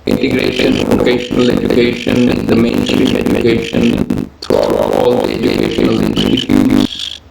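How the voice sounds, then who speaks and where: Gravelly man lecturing in an echoey room. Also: medium pitch, sing-song. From Gujarat, India. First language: Gujarati. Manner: measured, listing points one by one. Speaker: lecturer on education policy. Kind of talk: presentation lecture. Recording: echoey room with loud background hiss.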